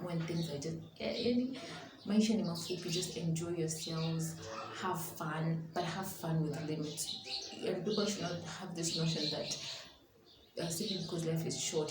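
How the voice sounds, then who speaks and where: A woman, chatting casually to camera as a vlogger and often rephrasing herself. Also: low voice. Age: early 20s, twenty-one. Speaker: YouTube vlogger. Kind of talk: casual sit-down chat to camera. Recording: echoey room with clear background noise.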